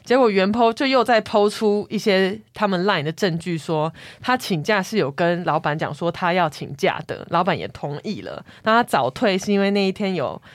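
A woman's voice: medium pitch, expressive, normal speed, few pauses, dry recording. A woman with a Taiwanese Mandarin accent co-hosting a podcast, chatting casually and retelling stories. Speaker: podcast co-host. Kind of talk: casual chat and retelling online workplace stories.

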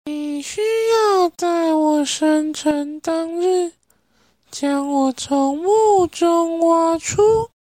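A woman's voice: very high-pitched, very slow, mellow, no room sound, heavily acted. A young woman playing a solemn princess spirit giving instructions in an amateur radio drama. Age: young adult. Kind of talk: student radio drama acting.

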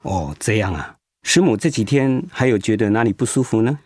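An actor playing a kind, steady male oncologist in a radio drama, explaining things in a reassuring way. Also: lowish voice, a little fast, no room sound, studio quality. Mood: friendly, attentive. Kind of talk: Mandarin radio drama acting.

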